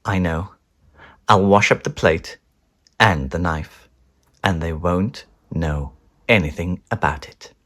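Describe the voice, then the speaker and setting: A man reading a children's story aloud in a theatrical, animated storytelling style. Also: deep voice, slow, pauses often. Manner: theatrical, with whispers and chanted sound words. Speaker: storybook reader.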